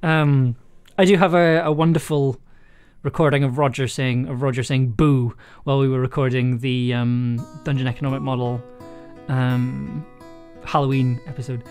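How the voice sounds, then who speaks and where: A live streamer and audio drama writer chatting casually with viewers and reading writing prompts aloud, full of fillers and restarts and quick to laugh. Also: mid-range voice, steady tone, darkish tone, smooth, soft background music. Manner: hesitant, many fillers and restarts, laughs easily.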